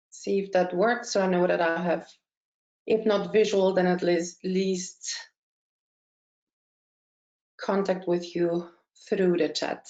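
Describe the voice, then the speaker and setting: A woman, presenting a webinar as a career consultant in a measured, explanatory way. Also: mid-range voice, a little mellow. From Austria. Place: indoor room, online call. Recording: dull, roomy online webinar audio.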